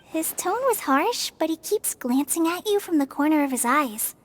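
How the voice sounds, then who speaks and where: A woman voicing a gentle young female healer in a video game, soft and reassuring. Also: high-pitched, animated, moderate pace, soft background music.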